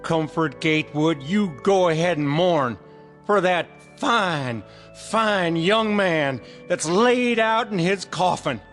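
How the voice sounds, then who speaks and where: A man's voice: sits high, animated, slow pace, a bit bright, soft background music, heavily acted. A man with a Southern American accent playing a folksy, solemn and fervent rural reverend in a radio drama. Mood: solemn, preaching. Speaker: preacher. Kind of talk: radio drama acting, funeral eulogy.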